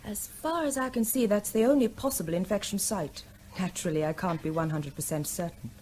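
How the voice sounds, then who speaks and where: A woman's voice: fairly low pitch, fairly quick, darkish tone, slight background noise, lightly acted. A woman playing a confident clinical doctor. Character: clinical female doctor, a pathologist. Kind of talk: radio drama acting.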